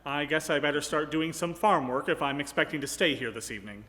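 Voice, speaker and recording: A man's voice: a little high, fairly quick, bright, forceful tone. A man playing a plainspoken, good-hearted, hesitant farmhand in a comic radio play. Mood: doubtful, resigned. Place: live on stage in a ballroom. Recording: echoey live hall.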